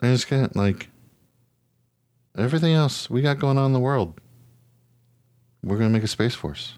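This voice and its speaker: A man's voice: mid-range voice, lively intonation, moderate pace, darkish tone, dry recording. Casting: American male podcast co-host, sweary and sarcastic, venting casually in a dry, close recording. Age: adult, parent of children. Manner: exasperated, profane, sarcastic. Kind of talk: casual comic rant.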